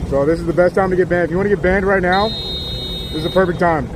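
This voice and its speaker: A man with a hoarse, worn-out voice chatting casually to his live-stream viewers outdoors on a city street. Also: sits high, steady tone, fast talker. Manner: chatty, laid-back, talks straight to viewers. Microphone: small clip-on mic. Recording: loud street noise outdoors.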